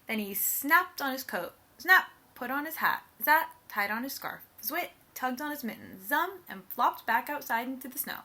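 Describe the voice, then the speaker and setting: A woman reading a children's picture book aloud as an expressive storyteller, with playful, emphatic sound words. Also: high voice, moderate pace, few pauses.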